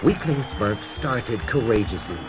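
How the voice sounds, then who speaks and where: A man's voice: medium pitch, animated, a little slow, crowd noise. A man reading scripted narration for a children's read-along storybook record. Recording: children's record with music behind. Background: music.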